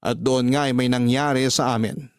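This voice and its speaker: A man narrates as an unapologetic womanizer confessing in a radio drama. His voice is mid-pitched and deliberate, recorded very dry, with a brash edge to the storytelling. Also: steady tone, clear, resonant tone, dry recording, close to an everyday voice. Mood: boastful confiding.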